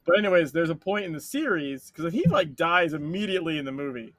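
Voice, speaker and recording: Rambling, animated storytelling man. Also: fairly high pitch, fast talker, no room sound. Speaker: podcast co-host. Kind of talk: casual chat.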